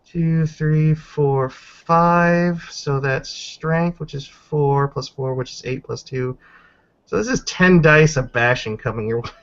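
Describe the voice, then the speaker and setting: A man with a fairly high voice runs a tabletop game as its game master. He narrates informally and swears freely. The audio is dull and lo-fi. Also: slow, smooth. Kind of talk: casual live game narration with dice counting.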